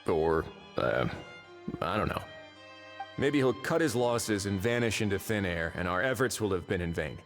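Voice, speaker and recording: A man voicing a shrewd, easygoing, world-weary official in a video game, with dry, measured authority. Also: sits low, very animated, soft background music. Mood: pensive. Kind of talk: animated video game voice acting.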